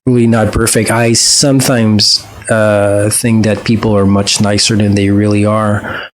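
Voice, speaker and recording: A man's voice: fairly low pitch, level tone, unhurried, rough recording. A man with a French accent, a native French speaker, talking through a reaction video in a hesitant, reflective way with many fillers and restarts. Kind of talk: casual reflective monologue.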